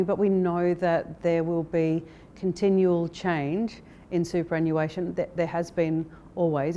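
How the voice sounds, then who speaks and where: A woman speaking as a company director in a corporate interview, explaining things in a measured way. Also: low voice, dark tone, slight background noise. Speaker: interviewee, managing director of an investment firm.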